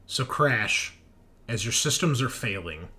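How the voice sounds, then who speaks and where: Bright-voiced man narrating as game master. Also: mid-range voice, lively intonation, a little slow. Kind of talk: narrating a tabletop horror game. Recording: music behind the voice. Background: background music.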